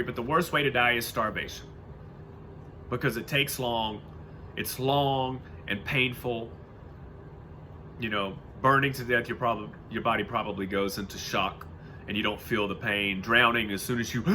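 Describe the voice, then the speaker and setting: A man's voice: medium pitch, steady tone, moderate pace, pushed and bright, slight background noise. An American man reading aloud and adding casual, rambling, informal commentary in a home-made recording. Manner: rambling, informal, loses his thread. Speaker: reader and commentator.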